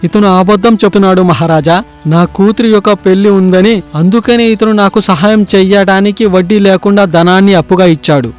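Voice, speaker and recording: Man as a pleading, high-voiced poor farmer. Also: fast, faint music behind, close to an everyday voice. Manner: pleading, aggrieved. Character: wronged, humble poor farmer.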